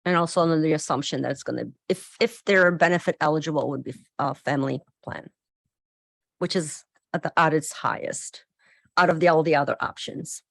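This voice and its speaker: A woman with a very low voice lays out budget figures in a measured, careful manner, pausing on "um" now and then. The recording is dry and close. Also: gravelly, fairly bright tone, dry recording. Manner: measured, reading out figures with "um" pauses. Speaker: county staff presenting the budget. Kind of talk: budget presentation.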